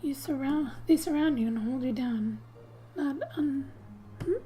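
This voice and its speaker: A woman speaking softly and breathily, often near a whisper, as she gently reads game text aloud for an ASMR gaming video. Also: high voice, unhurried.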